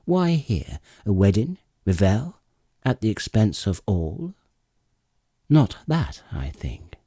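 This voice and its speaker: Assured goddess in seafarer's guise male reader, epic audiobook; slow, declamatory, widely swooping intonation. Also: fairly low pitch, huge pitch swings, mellow, husky, no room sound, studio quality, full character voice. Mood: probing, disapproving. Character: grey-eyed goddess disguised as a seafaring lord, assured, questioning. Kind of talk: dramatic epic audiobook reading.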